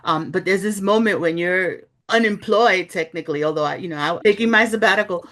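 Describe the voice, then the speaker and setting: A woman's voice: lowish voice, fairly quick, fairly bright tone. A middle-aged woman from New York City, speaking as an author in a reflective interview, telling her story candidly with many "you know" asides. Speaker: author, journalist and guest.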